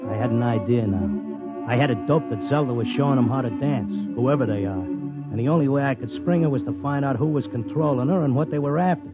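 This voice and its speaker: A man's voice: mid-range voice, fairly quick, lightly acted. An actor playing a stubborn, slangy racetrack tipster devoted to his wife, in 1950s radio drama style. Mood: wistful, narrating. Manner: slangy, wisecracking, insistent. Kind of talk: 1950s radio drama acting with first-person narration. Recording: dull vintage 1950s radio, music behind.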